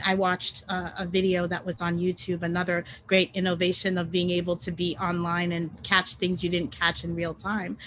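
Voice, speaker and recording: A woman's voice: mid-range voice, a bit bright, slight background noise, rough recording. A woman whose first language is French, speaking as a guest on an online panel webinar in a conversational way with many ums and self-corrections, over a video call.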